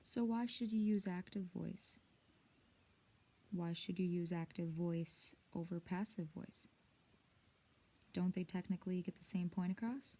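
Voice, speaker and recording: Female lesson presenter, soft mellow tone, slow measured delivery, clear instructional read. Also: on the low side, steady tone. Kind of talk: scripted instructional narration.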